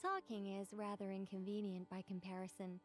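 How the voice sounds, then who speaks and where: A woman's voice: medium pitch, little pitch movement, fairly quick, soft background music, natural voice. A woman voicing a composed, witty woman author in a video game, speaking articulately with a conversational, lightly teasing manner. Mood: wry, reflective. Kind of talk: scripted game dialogue.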